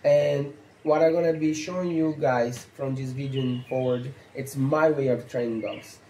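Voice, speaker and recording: A man in his thirties with a Brazilian accent, a stock dog trainer explaining training basics in halting, earnest English, seated on an outdoor patio. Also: medium pitch, fairly even intonation, mellow, roomy sound, rough recording. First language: Portuguese. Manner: halting, earnest, non-native phrasing with fillers. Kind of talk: instructional talk to camera.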